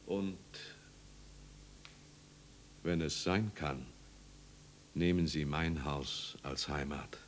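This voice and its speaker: A man's voice: extremely low pitch, extremely slow, long pauses, full character voice. An actor playing a kindly, steadfast village pastor in a 1950s radio drama, fatherly and earnest. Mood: tender, consoling. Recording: old radio recording with hiss and hum.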